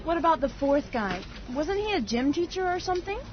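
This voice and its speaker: A woman's voice: high-pitched, very animated, brisk. A woman acting a curious, friendly coworker in a scripted listening dialogue. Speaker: dialogue actor. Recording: dull lo-fi audio.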